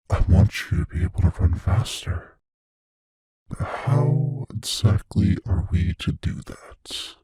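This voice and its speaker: A very husky, breathy monster, acted by a man speaks low and close in an ASMR roleplay. He is gleefully sadistic, taunting the listener slowly and savoring every cruel word. The sound is dry and intimate. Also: warm tone, no echo, full character voice. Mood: musing, sinister. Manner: slow, taunting, menacing, savoring words.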